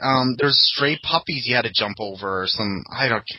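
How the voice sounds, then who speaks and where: A man's voice: medium pitch, moderate pace, bright, forceful tone, no echo, rough recording. A man hosting a casual podcast chat, telling rambling anecdotes and laughing often. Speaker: podcast host.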